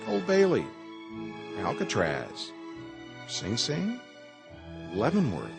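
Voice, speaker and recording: An actor playing a wry, mock-suspense host narrator in a radio play, speaking in an arch, dramatic, emphatic manner. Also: male voice, medium pitch.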